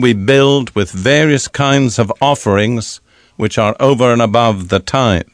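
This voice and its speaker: An older man teaching from the Bible in an archive sermon recording, speaking deliberately with pauses. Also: medium pitch, dry recording, clean studio sound.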